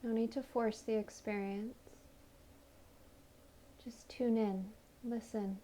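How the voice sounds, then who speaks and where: Slow, mellow woman guiding meditation. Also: fairly high pitch, steady tone, slight background noise. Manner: soothing, gentle, unhurried invitations. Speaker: guided meditation instructor. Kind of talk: guided meditation, body scan narration.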